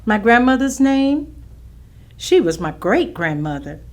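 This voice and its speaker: A woman playing a plainspoken grandmother in a staged reading, recalling her forebears deliberately. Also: fairly high pitch, big pitch swings, darkish tone. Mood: proud, plain. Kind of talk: staged reading of oral history.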